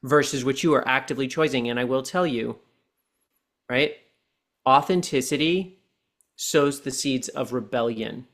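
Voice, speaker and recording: A man in his forties, a podcast guest and coach, talking earnestly and slowing down to stress his points. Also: medium pitch, fairly bright tone. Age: 40s. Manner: deliberate, earnest coaching tone, slows down for key points. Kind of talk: reflective podcast conversation.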